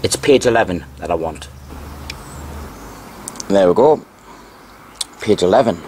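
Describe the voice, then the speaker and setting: A man narrating as he reads old newspaper articles aloud, deliberately, repeating key phrases. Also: deep voice, lively intonation, a little mellow, a little hiss or hum. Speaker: video narrator.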